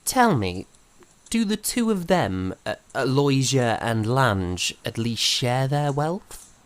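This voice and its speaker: An actor playing a young composer in a period audio drama, courteous and earnest, with formal, slightly hesitant speech. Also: male voice, mid-range voice, big pitch swings, slow pace, smooth. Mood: earnest, curious. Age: young adult.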